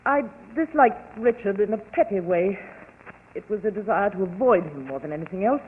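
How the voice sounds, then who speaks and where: An actress as a hesitant, troubled young wife. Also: female voice, mid-range voice, expressive, lightly acted. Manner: hesitant, halting, breathless confession. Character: anxious young wife fearing her own urges. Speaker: patient. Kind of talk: 1940s radio acting. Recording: tinny old radio broadcast with hiss.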